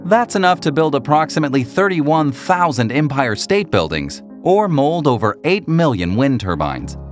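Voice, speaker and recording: A man reading scripted documentary narration in a measured, explanatory manner. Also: on the high side, big pitch swings, soft background music.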